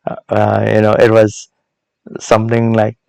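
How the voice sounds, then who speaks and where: Man teaching reflectively in a gravelly voice. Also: lowish voice, completely flat delivery, darkish tone, no room sound. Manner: deliberate, emphatic, with repeated phrases and rhetorical questions. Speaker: host of a Bible proverbs teaching series. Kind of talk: reflective, sermon-like informal teaching.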